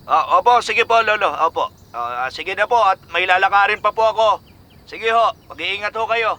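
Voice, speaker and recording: A man's voice: high-pitched, moderate pace, sharp tone. A man acting a wily young fisherman in a Filipino radio drama, coaxing and glib in colloquial speech. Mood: placating. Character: wily young fisherman who uses dynamite, slick and evasive.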